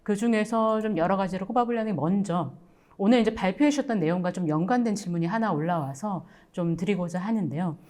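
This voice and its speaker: A woman with a mellow, mid-pitched voice hosts a webinar. She moderates politely, moving through audience questions in a formal but conversational style. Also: fast.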